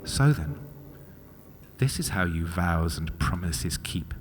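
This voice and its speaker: A man's voice: low-pitched, expressive, takes it slowly. A man voicing a wronged, vengeful maiden of the lake in a declamatory, menacing dramatic poetry performance. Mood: bitter reproach. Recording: loud music under the voice. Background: music.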